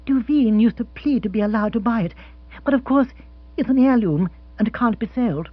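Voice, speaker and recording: A brisk, proper aristocratic wife, played by a male audiobook reader. The husky voice is pushed high and talks crisply and matter-of-factly. The sound is dry and a bit dull. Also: animated, no echo. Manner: crisp, matter-of-fact storytelling. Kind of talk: audiobook character voice. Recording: dull, dry, slightly lo-fi recording.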